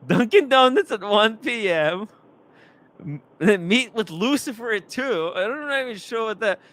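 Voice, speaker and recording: A man's voice: high voice, measured pace. Rambling young man streaming. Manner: rambling, animated, laughing, reading chat comments aloud. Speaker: live stream host. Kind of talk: casual live stream chat.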